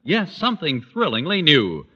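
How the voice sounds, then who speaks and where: A man's voice: fairly high pitch, huge pitch swings, normal speed, bright, forceful tone, slightly rough. A man announcing a vintage radio commercial with emphatic salesmanship. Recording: muffled vintage radio recording.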